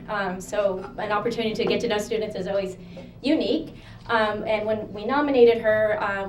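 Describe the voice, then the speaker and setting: A woman from the school staff introducing a student at a ceremony, hesitant with frequent ums. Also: medium pitch. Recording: echoey hall from far off, faint noise.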